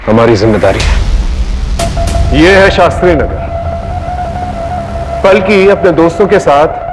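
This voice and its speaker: An Indian man acting as an authoritative, dutiful police investigator in a TV crime drama, speaking deliberately. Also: fairly high pitch. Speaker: actor in a TV crime series.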